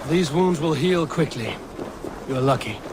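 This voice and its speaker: An actor playing a seasoned Viking warrior who speaks in a measured, steady way. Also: male voice, a little high, noisy background. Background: music.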